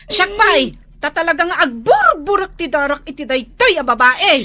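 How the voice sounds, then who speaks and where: Casting: anxious, bossy big sister in radio drama, very high-pitched, sharp and forward voice. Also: female voice, very animated, moderate pace, exaggerated voice. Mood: scolding. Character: anxious, scolding older sister of a debtor. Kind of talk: radio drama acting in Ilocano and Tagalog.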